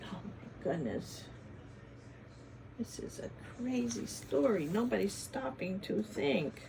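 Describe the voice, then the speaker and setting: A woman reading a picture book aloud to children in a teacherly way, pausing to ask them questions and adding dramatic emphasis. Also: mid-range voice, lively intonation, gravelly, background noise. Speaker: children's story reader, former teacher. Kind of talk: animated picture-book read-aloud with chatty asides to children.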